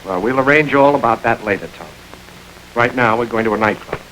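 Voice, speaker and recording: An actor playing a smooth, calculating gang boss in a 1930s crime film, calm and commanding. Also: male voice, mid-range voice, brisk, slight background noise, close to an everyday voice, rough recording. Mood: calm authority. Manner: cool, controlled, quietly commanding. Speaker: gang chief.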